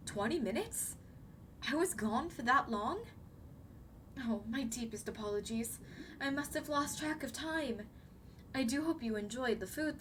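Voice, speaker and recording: A woman voicing a young siren queen, gentle, anxious and apologetic, speaking formally and hesitantly to the listener in an ASMR roleplay. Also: a little high. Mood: flustered, apologetic. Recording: clearly noisy background ambience.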